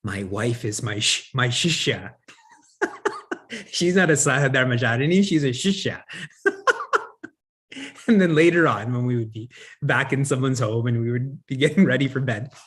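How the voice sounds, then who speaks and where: A man's voice: medium pitch, lively intonation, moderate pace, pauses often, a bit bright, breathy edge. A man giving a spiritual discourse as a teacher, who asks the audience questions, answers them himself and chuckles often. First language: English. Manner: chuckles often while talking.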